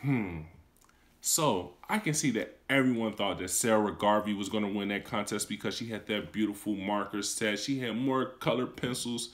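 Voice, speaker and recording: A man in his thirties, a kindergarten teacher, reading a picture book aloud to children in a small room, close to a phone camera. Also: moderate pace. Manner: expressive storytelling with lively swings in pitch and volume; warm, encouraging talk to young children. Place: small indoor room, seated on a couch.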